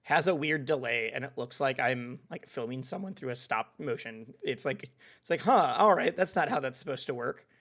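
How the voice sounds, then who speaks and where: A man's voice: medium pitch, animated, normal speed, a bit bright. A young man hosting a live stream, chatting casually and laughing often as he reads out follows. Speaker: streamer host.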